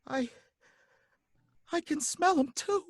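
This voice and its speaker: An actor playing a nervous, stammering cab driver who turns out to be a ghost, in an old-time radio drama. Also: male voice, extremely high pitch, slow pace, no room sound, exaggerated voice. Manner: folksy, anxious, stammering.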